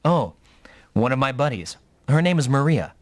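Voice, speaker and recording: A man's voice: mid-range voice, big pitch swings, moderate pace, natural voice. A man voicing a young, easygoing, teasing mech pilot in an anime-style video game dub. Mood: wry. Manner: casual, teasing.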